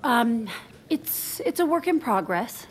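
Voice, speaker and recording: A woman playing a flustered, guarded finance worker with a halting delivery in a naturalistic audio drama. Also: fairly high pitch, sing-song, faint music behind. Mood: hesitant, earnest. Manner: hesitant, halting. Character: flustered, guarded woman working in finance, secretly leading double life.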